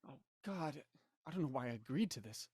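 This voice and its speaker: A man's voice: medium pitch, lively intonation, brisk, darkish tone, breathy edge, no echo, clean studio sound. An actor playing an anxious, skeptical man who pleads and stammers in an audio drama. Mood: agitated. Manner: hesitant, stammering.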